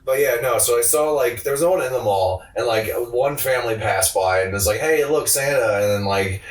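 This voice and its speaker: A man's voice: mid-range voice, brisk. A man co-hosting a casual comedy podcast, swearing freely and joking with sarcasm in a slangy way. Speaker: podcast co-host. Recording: roomy echo, distant from mic.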